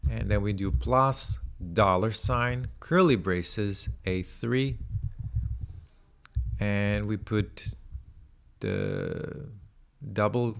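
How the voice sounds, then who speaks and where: Guy slowly muttering thru some code, kinda gravelly voice, lots of trailing off. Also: on the low side. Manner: hesitant, muttering to himself, trailing off. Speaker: programming tutorial presenter. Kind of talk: thinking-aloud coding walkthrough.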